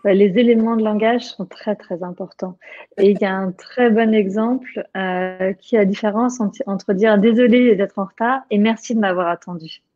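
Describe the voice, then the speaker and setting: A woman's voice: mid-range voice, steady tone, moderate pace, no room sound. A woman speaking as a guest on an online panel, plain-spoken, with frequent hesitations. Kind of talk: casual panel discussion. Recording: dull dry lo-fi sound.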